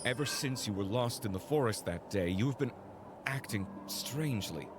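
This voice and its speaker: A man's voice: mid-range voice, animated, moderate pace, noisy background, lightly acted. A man voicing a proud, possessive royal fiancé in a game cutscene, speaking formally. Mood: commanding. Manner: formal, stern and commanding.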